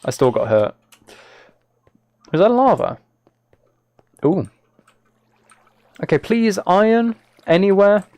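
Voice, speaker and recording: A man's voice: high-pitched, sing-song, slow pace, long pauses, darkish tone, no echo. British man live streaming, dry close-miked sound. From United Kingdom. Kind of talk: casual game livestream chat with viewers.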